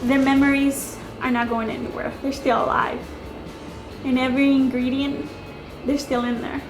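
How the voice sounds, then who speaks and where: Woman from El Salvador, higher voice, heartfelt interview answers over a clear music bed. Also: flat delivery, moderate pace, low-quality recording. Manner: earnest, emotional reminiscing. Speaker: cooking show contestant.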